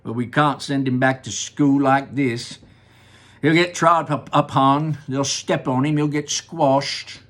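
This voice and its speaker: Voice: medium pitch, fairly even intonation, takes it slowly, a bit bright. A storybook reader voicing a blunt, exasperated middle-aged father in a near-natural voice. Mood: worried.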